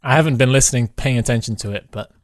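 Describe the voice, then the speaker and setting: A man casually commentating on a game he is playing, excitable and given to exclamations. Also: mid-range voice, quick pace, no room sound, studio quality. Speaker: gamer playing a co-op roleplay map.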